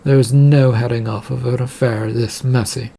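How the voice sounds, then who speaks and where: A man's voice: mid-range voice, steady tone, moderate pace, creaky, warm tone, slightly rough. A man voicing a troubled, insistent male shipping company boss in a story, with an urgent, grumbling manner. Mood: complaining.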